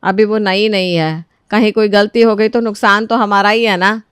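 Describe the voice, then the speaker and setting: A woman voicing a devout, authoritative older mother-in-law who is quick to scold, in a Hindi moral story. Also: medium pitch, fairly even intonation, a bit bright, clear, resonant tone, no echo, clean studio sound, close to an everyday voice. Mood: matter-of-fact.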